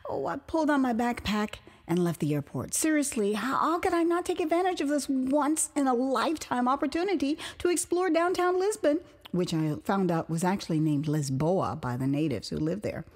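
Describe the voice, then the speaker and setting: An older American woman narrates her own story in an expressive, theatrical first-person style with amused asides. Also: sits high, normal speed, hardly any pauses. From Houston, Texas, United States. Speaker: author reading her own memoir.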